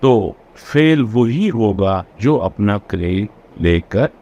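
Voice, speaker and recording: A man giving a recorded law lecture. He reads English passages slowly and then restates them in his own words. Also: lowish voice, expressive, dark tone, highs cut off.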